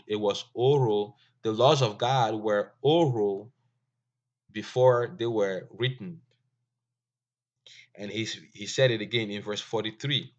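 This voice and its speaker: A man whose first language is Ewe teaches an informal lesson in a low, unhurried voice. He halts often, filling pauses and restarting his sentences. The recording sounds dull and lo-fi. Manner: slow, halting, many fillers and restarts.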